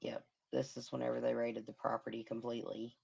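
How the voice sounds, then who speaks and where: A woman hosting a casual livestream, commenting on a crime case in a hoarse voice as she loses it and humming to herself. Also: rumbling low, little pitch movement, brisk, warm tone, dull audio. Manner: murmuring, sympathetic, hums to herself. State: hoarse, losing her voice. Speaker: livestream host.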